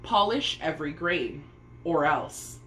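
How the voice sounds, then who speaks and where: Woman playing a bossy, scornful storybook stepmother, animated pitch. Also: slow pace, echoey room, a little hiss or hum, heavily acted, rough recording. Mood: threatening. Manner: commanding, scoffing, mock-sweet.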